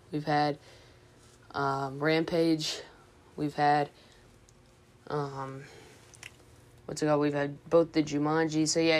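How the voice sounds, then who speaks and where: Low-voiced woman, casual podcast host. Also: a little mellow. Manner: hesitant, informal, thinking aloud. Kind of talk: casual movie news chat.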